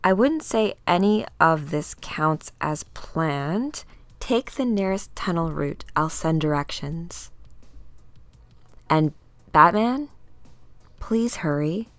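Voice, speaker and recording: A woman voicing a brisk, worried female intelligence chief from a comic book, wry and businesslike. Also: low-pitched, expressive, fairly bright tone, no echo, full character voice.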